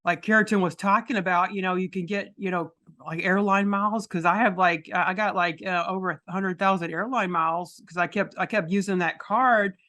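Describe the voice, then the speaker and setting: A woman with a notably deep yet bright voice, hosting a casual podcast. She talks in a loose, rambling way, full of restarts. Her tone carries a forward, ringing edge. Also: fairly even intonation, a little fast, few pauses. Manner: chatty, rambling storyteller with many restarts. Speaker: podcast host.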